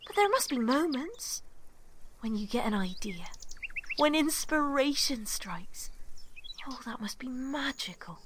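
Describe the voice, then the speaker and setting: An actress playing an earnest, starstruck young woman in an audio drama, speaking gently with hesitant wonder. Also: sits high, big pitch swings, a little slow, a little hiss or hum. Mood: admiring. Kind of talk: naturalistic audio drama dialogue.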